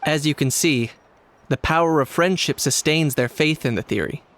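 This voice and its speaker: A man playing a composed, matter-of-fact male advisor in a video game, measured, formal and dryly blunt. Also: a little high, a bit bright, a little hiss or hum, natural voice. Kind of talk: video game character acting.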